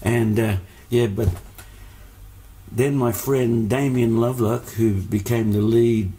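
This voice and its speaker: A man's voice: mid-range voice, steady tone, slow pace, dark tone. A man, born in Hungary, telling stories as a musician guest in a casual interview, rambling with many fillers and restarts.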